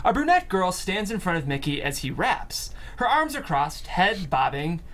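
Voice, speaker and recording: A woman's voice: lowish voice, sing-song, normal speed. A woman reading out stage directions as scripted narration at a comedy table read.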